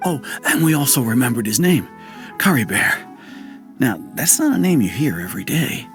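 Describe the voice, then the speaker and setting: A man's voice: medium pitch, big pitch swings, normal speed, soft background music. A man voicing a genial, chatty villager telling a tale in a video game. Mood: musing. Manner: chatty, storytelling. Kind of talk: video game character acting.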